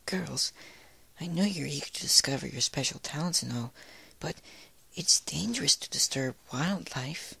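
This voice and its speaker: Man putting on a breathy, timid female pony. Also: fairly high pitch, animated, normal speed, bright, forceful tone, no room sound, pitched above the performer's own voice, heavily acted. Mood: gentle, concerned. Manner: hesitant, breathy, stammering. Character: timid, soft-spoken, flustered female pegasus pony. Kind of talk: fan fiction read aloud in character.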